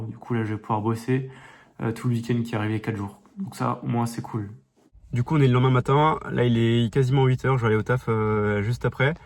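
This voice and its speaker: A young man talking casually to his phone camera, rambling with many fillers and restarts, stuffy from a cold. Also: medium pitch. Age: young adult. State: congested with a cold. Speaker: vlogger. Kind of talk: casual vlog diary. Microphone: phone held facing him.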